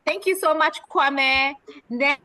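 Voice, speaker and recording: High-voiced woman moderating a video call. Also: slow, bright, forceful tone. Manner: prompting and repeating participants' words. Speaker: moderator of an online summit. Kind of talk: hosting a video call, calling on participants.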